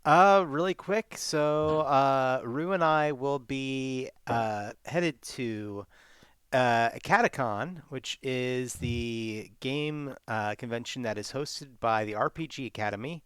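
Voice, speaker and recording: A man's voice: mid-range voice, takes it slowly, fairly bright tone, clear, resonant tone, dry recording. A man giving casual announcements for a live gaming channel, speaking as one of its hosts.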